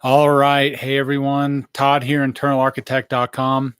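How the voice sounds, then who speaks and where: Hoarse man on a dry close mic. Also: mid-range voice, monotone, normal speed, a bit bright, no echo. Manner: rambling, many fillers and restarts. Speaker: vlogger giving a health update. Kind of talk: casual live update. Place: small indoor room, wood-plank backdrop. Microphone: studio mic on boom arm in front.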